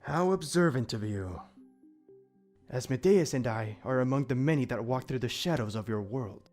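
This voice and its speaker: A man playing a calm, solemn immortal demon protector in an audio drama, speaking in formal, measured, archaic phrasing. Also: medium pitch, soft background music, close to an everyday voice. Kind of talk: audio drama character acting.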